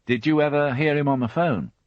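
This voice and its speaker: A man's voice: fairly high pitch, brisk, no echo, pitched above the performer's own voice, lightly acted. A man doing a patient, weary chief inspector. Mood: questioning. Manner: calm, level questioning. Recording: dull, dry studio audiobook.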